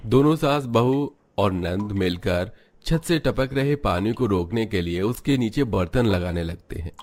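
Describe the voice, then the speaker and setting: Deep-voiced male story narrator. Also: darkish tone, slight background noise. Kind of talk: scripted dramatic narration of a cartoon story.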